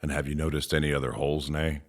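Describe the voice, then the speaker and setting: A man's voice: very deep voice, flat delivery, fast talker. A man playing a blunt, challenging spaceship crew member in a sci-fi audio drama, questioning others.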